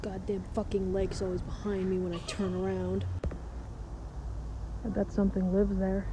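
A woman playing an anxious, foul-mouthed wanderer lost in a forest, muttering haltingly to herself in a found-footage horror drama. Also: medium pitch, completely flat delivery, natural voice. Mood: wary, frustrated. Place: outdoors in woods. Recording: lo-fi noisy camcorder tape audio.

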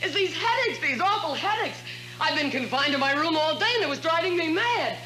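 Anguished victorian wife, high voice, pleading and theatrical, hissy old tv sketch recording. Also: female voice, expressive, brisk, bright, forceful tone. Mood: distressed. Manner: overwrought, pleading, repeats phrases. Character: anguished Victorian wife being driven mad, theatrically fretful. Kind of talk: 1950s TV melodrama parody acting. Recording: noisy vintage 1950s TV soundtrack with hum.

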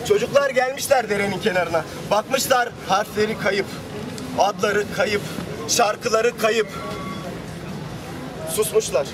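A man telling a fairy tale as street theatre, in a declamatory way with dramatic pauses and repetitions. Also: sits high, a crowd in the background. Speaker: storyteller, street theatre performer. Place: outdoors on a city street. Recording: distant outdoor street recording under loud music.

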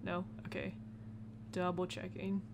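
A woman's voice: on the low side, monotone, unhurried, gravelly, background noise. A woman doing casual let's play commentary, chattily thinking aloud and reading game text out as she goes. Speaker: gaming video host.

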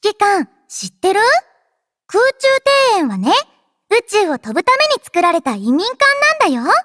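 A woman's voice: extremely high pitch, huge pitch swings, normal speed, dry recording, clean studio sound, exaggerated voice. A woman voicing a cheerful, childlike android girl in an anime game, playful and boastful in manner. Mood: earnest, wistful. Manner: playful, childlike, refers to herself by name. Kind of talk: anime game character voice lines. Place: dry studio.

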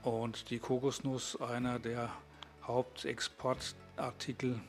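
A man's voice: medium pitch, flat delivery, takes it slowly, over loud music. A man, presenting and narrating a vlog. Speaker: vlogger.

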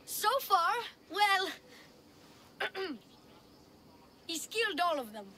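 A woman's voice: very high voice, big pitch swings, drawn-out words, bright, forceful tone, heavily acted. A woman voicing a young boy who is a confident, salesy tour guide and huckster, in a video game. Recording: game music underneath.

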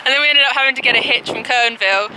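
A woman's voice: a little high, rapid-fire. A woman, telling a rambling, informal story in a casual hiking vlog recorded outdoors. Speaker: hiking vlogger. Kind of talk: casual vlog recap chat. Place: outdoors on a mountain desert trail. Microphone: handheld camera at arm's length. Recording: windy outdoor camera audio, loud noise. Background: loud wind noise.

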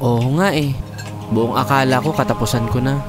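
A man's voice: mid-range voice, normal speed, close to an everyday voice. A man acting an earnest shipwreck survivor. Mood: grateful. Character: earnest, grateful young male shipwreck survivor. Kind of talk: Tagalog horror audio drama acting. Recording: loud music bed behind voice.

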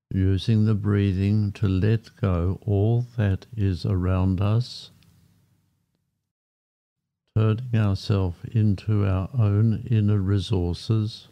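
Slow, deep, very mellow male meditation guide. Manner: calm, unhurried, with short phrases and long pauses. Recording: muffled, dull lo-fi sound. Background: background music.

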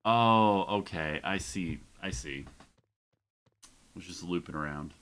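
A man's voice: sits low, slow, fairly bright tone. A man in his thirties streaming live gameplay commentary, swearing and venting frustration at the game. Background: game music.

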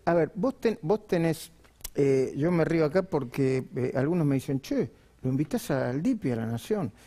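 A man's voice: fairly high pitch, animated, moderate pace, warm tone. A man in his forties with an Argentine accent, hosting a live TV panel and musing aloud, often trailing off mid-thought. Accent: Argentine Spanish. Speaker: TV news host. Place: TV studio. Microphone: headset mic.

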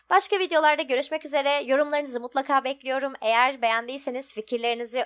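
A woman's voice: high-pitched, fairly bright tone. A woman giving casual, chatty let's play commentary, thinking aloud as she goes. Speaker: gaming video host.

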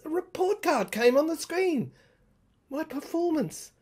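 A woman delivers a dramatic audio play monologue in a high, breathy voice. She moves slowly through broken phrases, pausing often. Her pitch swings widely and can fall away towards a whisper. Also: big pitch swings, darkish tone. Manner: halting short phrases with pauses, sometimes dropping to a whisper.

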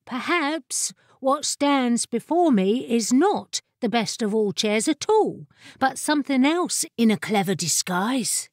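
Woman voicing a pert, higher-pitched little fire familiar. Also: expressive, slow, no room sound, studio quality. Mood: pert, reasoning. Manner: pert, articulate, storybook formality. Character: headstrong female fire-creature familiar, small, inquisitive and cheeky.

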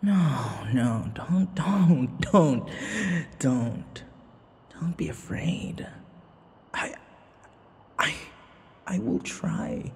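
A man playing an obsessive, menacing, grieving vampire in an ASMR roleplay, breathy and halting, repeating his words. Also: a little high, a little mellow, in a large hall, heavily acted. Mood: coaxing, threatening. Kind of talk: ASMR roleplay audio drama.

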